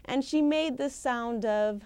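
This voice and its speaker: Slow, bright-voiced woman telling a folktale. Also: high voice, clear, resonant tone, no echo. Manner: expressive, theatrical, with deliberate pauses and emphasis. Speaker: professional storyteller. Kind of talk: dramatic live oral storytelling of a folktale. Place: live storytelling session at a conference.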